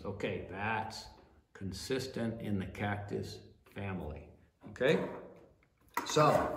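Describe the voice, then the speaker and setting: A man's voice: medium pitch, roomy sound, noisy background. An older man giving an informal botany class from a desk, chatty and always asking "all right?" or "okay?". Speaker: plant educator. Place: small office room at a desk.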